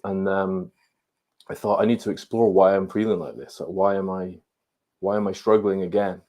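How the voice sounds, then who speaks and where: A man in his forties from Scotland, his accent softened by years in London, a guest in a casual online chat who often repeats words as he gets going. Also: sits low, steady tone. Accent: softened Scottish with London influence. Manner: halting, repeats words as he starts sentences. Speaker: guest, ADHD coach.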